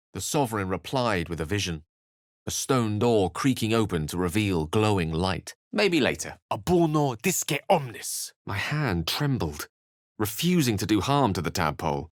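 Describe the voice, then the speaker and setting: British wizard for a video game, low voice, super dry sound, wry n bookish, kinda theatrical. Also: male voice, no room sound. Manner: articulate, bookish, theatrical phrasing. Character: eloquent, urbane male wizard, wry and courteous. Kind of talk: video game character voice acting.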